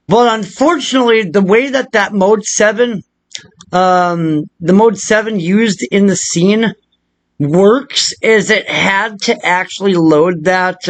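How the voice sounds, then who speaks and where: A man hosting a casual software tutorial, rambling and muttering asides to himself in a crude, joking, profane way. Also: high voice, no echo. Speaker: video tutorial host.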